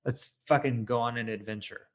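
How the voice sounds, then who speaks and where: A man's voice: mid-range voice, fairly even intonation, a little fast. A man co-hosting a movie review podcast, talking in a casual, opinionated way. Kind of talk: casual film discussion.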